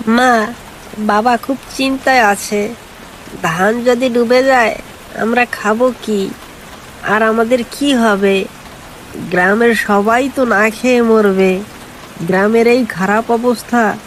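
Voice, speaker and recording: A woman voicing a dutiful, earnest and anxious young farmer's son in an animated folk tale. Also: on the high side, slow, pauses often, low-quality recording. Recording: faint hiss and rain noise.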